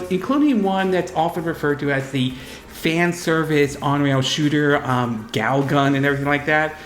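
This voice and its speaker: Higher-voiced man with a cold, roomy sound. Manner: rambling, halting, self-correcting delivery with repeated verbal tags. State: fighting off a cold. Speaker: YouTube gaming news host. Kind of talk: unscripted gaming news commentary.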